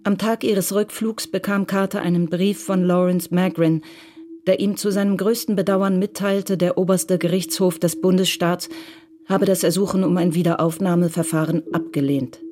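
A woman narrating a radio drama in a measured, even way. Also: fairly low pitch. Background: music.

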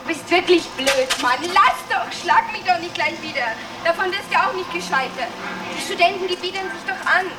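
A very high-pitched young woman from the streets, defiant, mocking and desperate, in a gritty radio drama. She talks in coarse slang, heard from a distance over clear background noise. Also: moderate pace. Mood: scornful, pleading.

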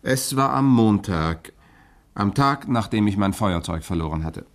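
A man's voice: fairly low pitch, expressive, measured pace. An actor playing a good-humoured male journalist sleuth, speaking as the narrator of a 1960s radio play. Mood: teasing. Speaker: journalist narrator David Conway.

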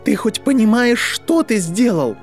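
Man doing a high-pitched, furious young boy wizard. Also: sing-song, normal speed, soft background music. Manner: trembling, shouting, accusatory delivery. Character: furious, anguished boy wizard, sharp and accusing.

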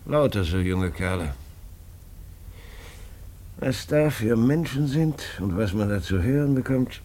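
An actor playing a stubborn, proud older father in a German radio drama, with a gravelly, husky voice. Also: fairly low pitch, lively intonation, unhurried, dark tone, slight background noise. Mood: weary, reassuring.

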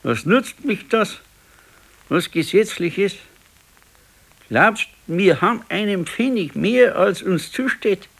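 An actor playing a brooding, stubborn old working-class pensioner and husband with a Bavarian accent in a radio play. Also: male voice, sits high, expressive, vocal fry, fairly bright tone, full character voice. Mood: indignant. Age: older. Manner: slow, ruminating, stubborn. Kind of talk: 1970s naturalistic dialect radio play.

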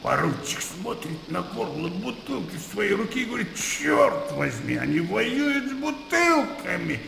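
Radio drama: blustering old colonel, slow-paced and gravelly, boastful storyteller, echoing room. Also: male voice, high-pitched, big pitch swings, sharp tone, exaggerated voice. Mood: animated, jovial. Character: boisterous old colonel, garrulous war-story raconteur. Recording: roomy reverberant sound, audible background noise.

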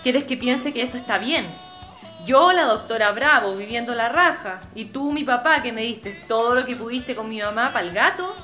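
Bright, insistent Chilean daughter played by a woman. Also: fairly high pitch, animated, moderate pace, faint music behind. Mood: exasperated. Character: insistent, status-conscious doctor daughter pleading with father. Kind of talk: radio drama acting.

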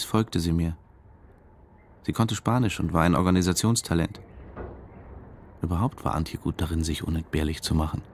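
Actor as a deep-voiced, detached man, narrating. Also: darkish tone, natural voice. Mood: detached, reminiscing. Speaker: actor in a radio drama. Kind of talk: measured first-person radio drama narration. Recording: music and rumble behind voice. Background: music.

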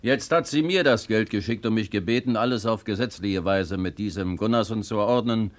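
An actor playing a brisk, blunt town lawyer in a 1950s German radio play, formal, direct and dryly amused. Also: male voice, lowish voice, natural voice. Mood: matter-of-fact. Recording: vintage 1950 radio recording.

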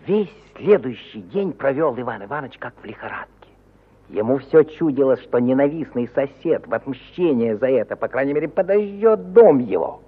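A man narrating a literary audio play in an expressive, theatrical 1950 Soviet radio style, moving between loud exclamation and hushed suspense, on an old recording. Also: mid-range voice, moderate pace, husky, narrow, tinny audio.

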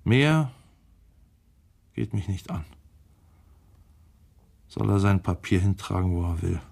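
A brooding, calculating man in a literary radio drama. His voice sits fairly low. He muses to himself in short, clipped phrases, as if weighing each option. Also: expressive, takes it slowly, gravelly, a little mellow, full character voice. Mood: irritated, resigned.

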